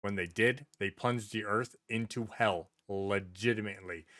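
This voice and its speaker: A man chatting casually as a podcast host, telling stories in a rambling, excitable way and swearing freely. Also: fairly low pitch, normal speed, no echo, clean studio sound.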